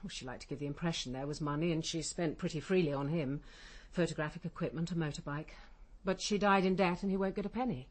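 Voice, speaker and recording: English female barrister, middle-aged, lowish voice, imperious, cutting, clipped, radio drama. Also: fast talker, hardly any pauses. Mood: bitter, determined. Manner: commanding, clipped, insistent. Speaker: actor. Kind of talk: British radio crime drama acting.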